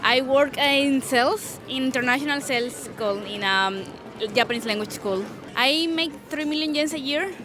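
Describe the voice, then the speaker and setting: A woman from Colombia answering street interview questions, halting and correcting herself as she talks. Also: sits high, background noise. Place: city street outdoors. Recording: busy street crowd behind. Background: crowd.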